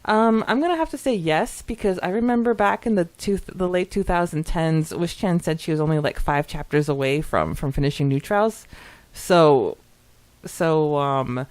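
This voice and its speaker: Animated, giggly woman podcast co-host. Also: sits low, normal speed. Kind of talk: casual chat, reading out listener comments.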